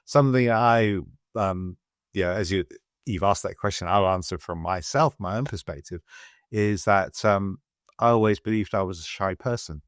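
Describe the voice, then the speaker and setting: A middle-aged British man with a very low, deep voice hosts a podcast conversation. He speaks softly and hesitantly, often restarting his sentences. The sound is dry and close to the mic. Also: normal speed, no room sound. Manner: soft-spoken, hesitant, many fillers and restarts. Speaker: podcast host. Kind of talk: casual interview chat.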